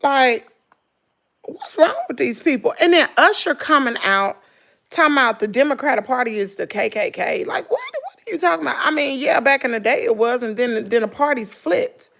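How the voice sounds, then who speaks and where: Hoarse Southern woman, gravelly voice, lively swooping pitch, gossipy vlog chat. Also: moderate pace. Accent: Southern American. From Southern United States. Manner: chatty, gossipy, dramatic exclamations. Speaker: vlogger. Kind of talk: casual chat with viewers.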